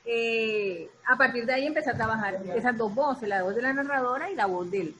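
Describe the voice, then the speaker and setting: A woman's voice: fairly high pitch, fairly quick, a bit bright, slight background noise. A woman talking casually and expressively as an actress, searching for words and repeating herself. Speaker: radio theatre actress. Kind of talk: casual talk about creative process.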